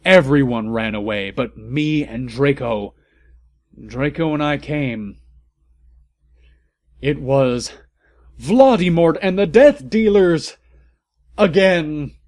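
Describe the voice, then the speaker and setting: Slow, theatrical man reading fan fiction. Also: fairly high pitch, a little mellow, no echo, clean studio sound. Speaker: narrator and commentator. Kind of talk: comedic dramatic reading of amateur fan fiction.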